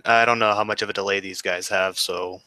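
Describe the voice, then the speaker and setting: A young male podcast host with a bright, forceful tone, recorded close and dry with no room sound. He asks his questions in an easygoing, offhand manner. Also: on the low side, a little fast, no room sound. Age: 20s. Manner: relaxed, laid-back questioning. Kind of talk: casual podcast chat.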